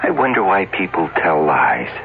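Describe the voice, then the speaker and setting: A gentle woman asks a child soft, curious questions. Her voice is very low for a woman and moves lively. It comes through a thin, tinny broadcast with faint noise. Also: moderate pace, exaggerated voice.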